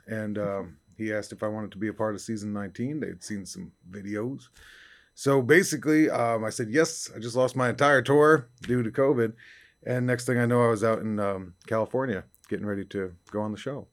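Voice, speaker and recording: A young man from upstate New York, a singer-songwriter being interviewed, talking casually in a raspy baritone with easygoing fillers. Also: a little fast. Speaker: country singer-songwriter guest.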